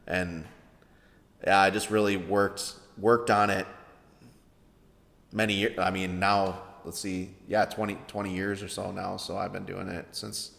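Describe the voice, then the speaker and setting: A man in his thirties with a baritone voice, answering interview questions in a hesitant way with many fillers. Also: flat delivery, measured pace, gravelly, a bit bright. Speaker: interview guest, veteran songwriter. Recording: echoey room with long reverb.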